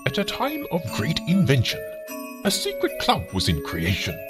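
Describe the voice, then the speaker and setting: Very animated man narrating over music. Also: fairly high pitch, normal speed. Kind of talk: theatrical rhyming book-trailer narration. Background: loud music.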